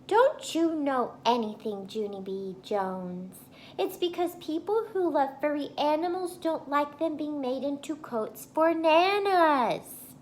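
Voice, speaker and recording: A woman's voice: sits high, animated, slow, a little mellow, a little hiss or hum, voice pushed up. A woman voicing a haughty, know-it-all little schoolgirl in a children's storybook, matter-of-fact and superior. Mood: snippy, superior. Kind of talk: children's storybook read-aloud.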